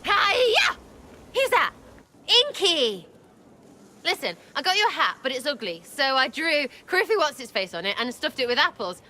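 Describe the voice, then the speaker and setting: A woman's voice: high voice, sing-song, normal speed, bright, forceful tone, exaggerated voice. An actress voicing a cheeky, irreverent young elf woman who teases and uses crude slang. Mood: giddy. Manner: playful, teasing, crude slang. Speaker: companion character.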